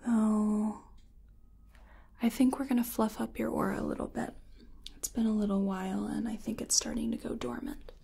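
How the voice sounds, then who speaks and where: A woman whispering and softly murmuring in a breathy, gentle, soothing way, as an energy healer in an ASMR personal attention roleplay. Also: mid-range voice, flat delivery, moderate pace, a little hiss or hum.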